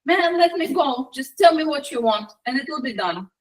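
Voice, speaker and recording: A woman's voice: high voice, animated, normal speed, far from the mic. A woman voicing a magical female goldfish in a storybook tale, pleading to be let go with short, repeated promises. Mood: pleading, impatient.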